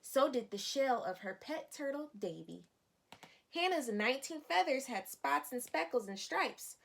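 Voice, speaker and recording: A woman with a fairly high voice reads a children's picture book aloud. She speaks as a teacher would to young listeners, explaining as she goes and posing questions to them. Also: lively intonation, unhurried, vocal fry, fairly bright tone. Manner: instructive, addressing young children.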